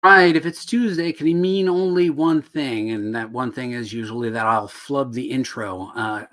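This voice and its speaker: A middle-aged man hosting a live comics-writing lesson over a video call, slightly hoarse, joking and self-deprecating. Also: mid-range voice, normal speed, fairly bright tone. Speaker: host. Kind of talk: casual livestream teaching. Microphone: video call webcam.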